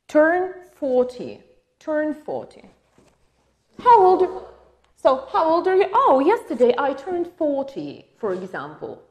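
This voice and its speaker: A woman's voice: high voice, darkish tone. A woman with a Ukrainian accent teaching an English lesson, patiently asking questions and confirming answers. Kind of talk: online English lesson for exam prep.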